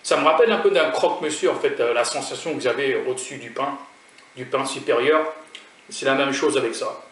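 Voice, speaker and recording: A man from France with a French accent chats loosely with his viewers, his thoughts drifting from one to the next as he tastes his food. His pitch sits in the middle of the usual range for a man. The mic is set back from him, so his voice comes through roomy and echoey. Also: fairly quick, fairly bright tone. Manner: chatty and rambling, talking straight to viewers. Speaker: food vlogger. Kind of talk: casual food tasting vlog. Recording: roomy sound from a distant mic.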